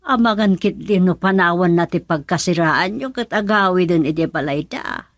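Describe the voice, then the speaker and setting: A woman's voice: fairly low pitch, normal speed, dry recording, clean studio sound, natural voice. A woman playing a worried, disapproving older mother in an Ilocano radio drama, with a Filipino accent. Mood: worried reproach.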